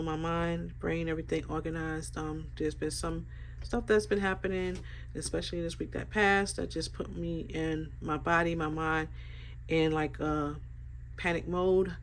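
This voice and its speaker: A woman's voice: lowish voice, a little hiss or hum. A woman with a stuffy, congested voice, chatting casually and rambling through a planner flip-through with lots of fillers. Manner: relaxed, rambling, many fillers. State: stuffy from a sinus infection. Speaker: planner vlogger.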